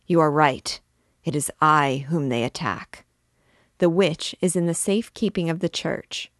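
A woman voicing a fierce, cunning old king who gives commanding orders in a low voice. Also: dry recording, clean studio sound. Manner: commanding, rising to shouted orders.

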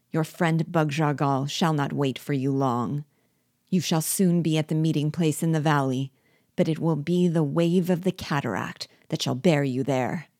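A woman's voice: deep voice, darkish tone, dry recording, clean studio sound. A woman voicing a spiteful male dwarf jester in an audiobook, menacing at first and then begging frantically for his life. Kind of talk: audiobook reading.

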